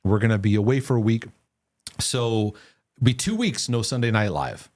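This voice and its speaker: A man's voice: fairly low pitch, normal speed, a little mellow, no room sound. A man hosting a live question-and-answer broadcast, giving earnest, reassuring faith-based teaching. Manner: earnest, reassuring, lots of restarts. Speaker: host.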